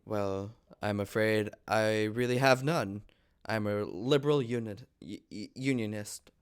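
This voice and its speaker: Man playing a low, smooth, hesitant young gentleman. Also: unhurried, close to an everyday voice. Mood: earnest, hesitant. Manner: deferential, hesitant, formal. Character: polite, nervous young gentleman suitor of 29. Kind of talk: amateur table reading of a stage comedy.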